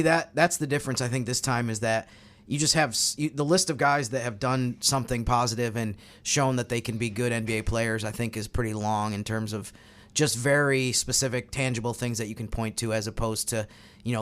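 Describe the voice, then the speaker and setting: Guy co-hosting a sports podcast, breaks things down in detail, average pitch, casual. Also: a bit bright.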